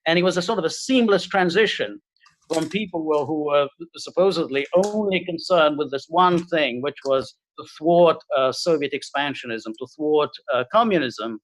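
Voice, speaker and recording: A middle-aged man from Hungary, talking as a guest on a video call and speaking haltingly with many fillers and restarts. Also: on the high side, rough recording. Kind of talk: casual interview talk.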